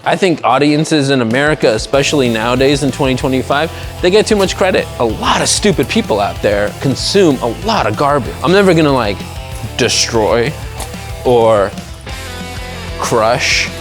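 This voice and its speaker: A man from Los Angeles gives a deadpan interview about his comedy, with plucked guitar music clearly under his voice. His pitch sits in the middle range, and he is blunt and self-deprecating throughout. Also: normal speed. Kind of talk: interview talk.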